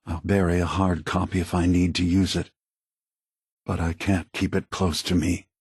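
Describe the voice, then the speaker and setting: A man voicing a calm, remorseful old synthetic android leader in a video game, speaking in a measured, grave, deliberate way. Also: deep voice, slightly rough, dry recording, studio quality, full character voice. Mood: troubled, guilty.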